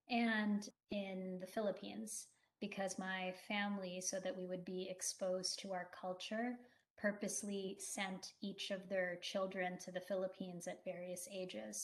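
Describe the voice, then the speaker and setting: A woman who grew up in the United States, speaking as an interview guest in a friendly, articulate way with some fillers. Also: medium pitch. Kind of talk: conversational interview.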